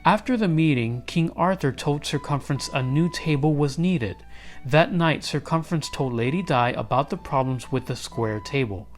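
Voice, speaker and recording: A man reading a children's storybook aloud as an expressive narrator. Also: fairly high pitch, normal speed, soft background music.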